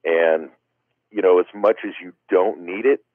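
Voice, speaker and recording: A man's voice: low voice, measured pace, no room sound. A man speaking as a guest in a casual interview, rambling with many "you know" fillers. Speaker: guest wiffle ball player. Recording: tinny phone line.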